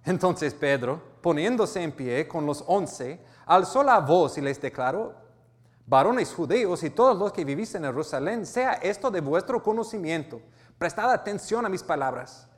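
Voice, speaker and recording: Pastor with an American accent, slightly higher voice than most men, earnest, stumbling through some words, with a long hall echo. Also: male voice, expressive, normal speed. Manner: earnest, with restarts and self-corrections. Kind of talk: sermon, reading and explaining scripture.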